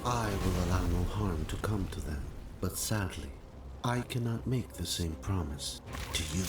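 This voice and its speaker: A man playing a calm, solemn, protective artificial intelligence in a video game, speaking in a formal, grave, measured way. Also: sits low, loud music behind the voice. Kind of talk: scripted video game acting.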